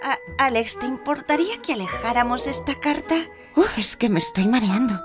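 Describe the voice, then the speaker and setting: Actress as excitable female contestant, Castilian accent, higher pitch, lively intonation, colloquial, radio comedy. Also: fairly quick, music in the background, lightly acted. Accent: Castilian Spanish. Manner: expressive, colloquial. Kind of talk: comic radio fiction dialogue.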